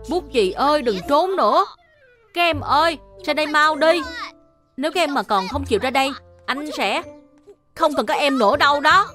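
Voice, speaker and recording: A woman playing an earnest, excitable young schoolboy in a dubbed children's cartoon. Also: high-pitched, moderate pace. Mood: urgent. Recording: music behind the voice.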